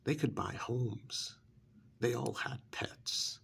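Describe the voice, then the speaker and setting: A man's voice: mid-range voice, takes it slowly, gravelly, a bit bright, slightly rough, exaggerated voice. A man doing an ASMR role-play as a cynical, persuasive recruiter for vampires, sardonic and full of prodding rhetorical questions. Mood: wistful, persuasive.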